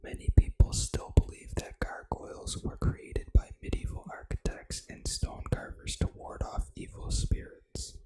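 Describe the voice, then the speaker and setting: An ASMR gaming host, reading trivia in a breathy, unvoiced whisper with casual, informal asides. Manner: casual, informal, unhurried reactions to what is read.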